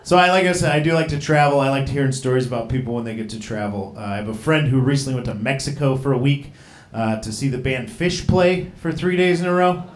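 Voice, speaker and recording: A man's voice: mid-range voice, quick pace, reverberant. Profane rambling man in his thirties. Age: 30s. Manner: profane, rambling, self-deprecating. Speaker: stand-up comedian. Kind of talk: stand-up comedy set. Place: comedy club stage.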